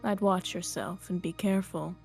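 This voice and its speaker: Woman as a mellow, composed noble lady. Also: on the low side, fairly even intonation, moderate pace, vocal fry, breathy edge, faint music behind. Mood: composed, guarded. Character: composed, reserved noble lady at vampire soiree. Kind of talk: Minecraft roleplay acting.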